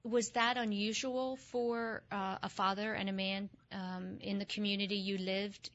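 A woman's voice: mid-range voice, little pitch movement, a little slow. Hesitant woman interviewer, muffled audio. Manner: warm, hesitant questioning with many fillers. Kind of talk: podcast interview. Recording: slightly dull lo-fi audio.

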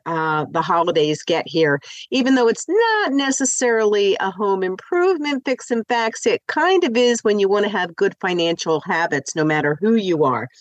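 A woman's voice: medium pitch, no room sound. Stuffy-voiced woman hosting a radio show. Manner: bubbly, upbeat, chatty with fillers. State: stuffy from the flu. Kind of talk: chatty live radio talk on home improvement. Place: radio studio.

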